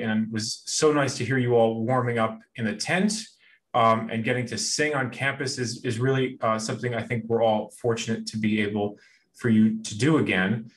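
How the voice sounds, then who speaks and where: A man's voice: mid-range voice, a bit bright. A man hosting a school video call, talking with many restarts and fillers as he welcomes students and asks questions. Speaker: school host.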